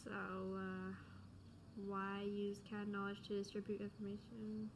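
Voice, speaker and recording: A woman's voice: medium pitch, monotone, a little slow. A woman giving casual gameplay reaction commentary, with offhand short reactions and muttered asides. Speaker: gamer commentator. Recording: loud noise and clicks behind commentary.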